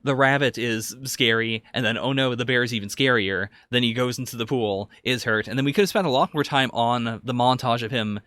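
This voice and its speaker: A man's voice: mid-range voice, brisk, few pauses, bright, forceful tone, no echo. A man co-hosting a podcast, casually discussing anime and thinking aloud as he analyses it. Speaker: podcast co-host.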